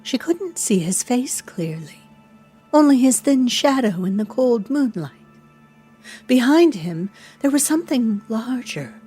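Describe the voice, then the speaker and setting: A woman narrating a horror audio drama in a solemn, hushed, deliberate and dramatic storytelling manner. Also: a little high, breathy edge, heavily acted.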